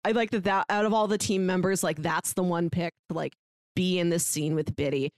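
A woman co-hosting a podcast, casually discussing a book in a reflective, analytical way, pausing to find words. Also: on the low side, steady tone, no room sound. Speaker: podcast co-host.

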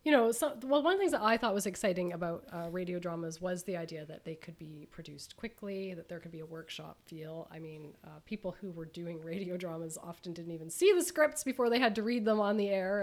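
A woman hosting a conversational interview, speaking haltingly with many ums. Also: on the low side. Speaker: radio host.

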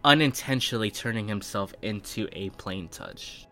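A man with a bright, forceful voice works as a tabletop game master. He frames the fantasy world and puts questions to a player, with music running behind him. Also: on the low side, animated, normal speed. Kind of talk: scripted intro narration and character interview. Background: background music.

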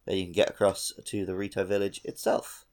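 A man's voice: low voice, flat delivery, brisk, darkish tone. A man co-hosting a gaming podcast, explaining things in an easygoing, casual way. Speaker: podcast co-host.

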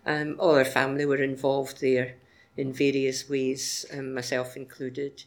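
A woman's voice: rumbling low, level tone, measured pace. A woman from Glasgow with a Glaswegian Scottish accent, a church member answering questions in a plain, matter-of-fact way. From Glasgow, Scotland. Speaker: church member being interviewed.

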